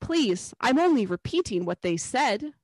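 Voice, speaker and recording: A woman's voice: on the high side, sing-song, measured pace, a little mellow, no room sound, studio quality, voice pushed up. A woman voicing a timid young boy, polite, nervous and eager to please, in a storybook reading. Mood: pleading.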